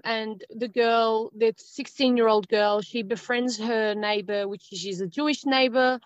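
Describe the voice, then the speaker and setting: A woman's voice: fairly high pitch, no room sound. Aussie woman in her 30s, chatty, lots of ums, dull dry call sound. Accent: Australian. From Australia. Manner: rambling, hesitant with many ums. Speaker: guest author. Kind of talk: casual interview chat.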